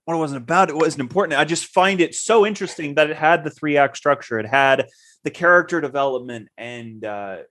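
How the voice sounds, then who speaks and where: A man's voice: a little high, brisk, fairly bright tone. A man co-hosting a casual chat about writing, rambling and hesitant, often repeating words. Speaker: co-host.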